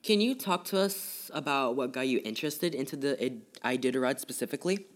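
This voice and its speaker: A man's voice: mid-range voice, lively intonation, a little fast. A man asking interview questions, with some fillers. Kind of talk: casual interview.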